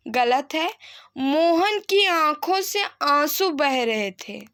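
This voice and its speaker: Bright, high-pitched woman teaching slowly. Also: expressive. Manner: didactic, repeats sentences and asks then answers her own questions. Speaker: Hindi grammar teacher for exam candidates. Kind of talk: instructional lesson, reading sentences and correcting them.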